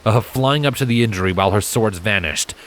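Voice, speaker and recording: A man reading a dramatic fantasy story as a scripted narrator, measured and deliberate. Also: fairly low pitch, fairly bright tone, a little hiss or hum. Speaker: fan fiction narrator.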